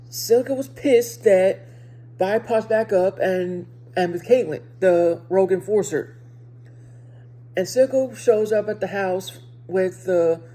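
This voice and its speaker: A woman with a speech impediment giving a casual video review to viewers, speaking haltingly and often repeating and rephrasing her words. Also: mid-range voice, mellow.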